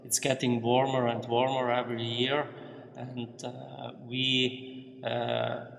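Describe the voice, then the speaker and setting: A slow-spoken, halting man explaining his family business to a visitor inside an echoing cellar-like hall. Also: mid-range voice, little pitch movement, creaky, fairly bright tone. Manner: halting, searching for words. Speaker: winery representative being interviewed. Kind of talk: explanatory interview answers. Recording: echoey, reverberant hall-like room.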